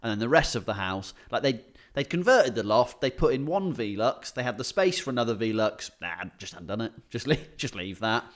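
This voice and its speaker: A man's voice: mid-range voice, lively intonation, a little fast. A British man in casual comedic chat as a podcast co-host, telling anecdotes, often chuckling and swearing casually as he talks.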